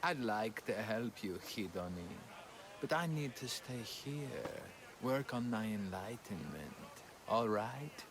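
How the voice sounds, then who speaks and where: An actor playing an affable, self-important enlightenment seeker in a video game, mannered, coy and grandly dramatic. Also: male voice, lowish voice, animated, slow. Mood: coy, evasive. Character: affable, self-important male devotee, former actor, easily flattered. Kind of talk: video game cutscene acting. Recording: busy crowd murmur behind.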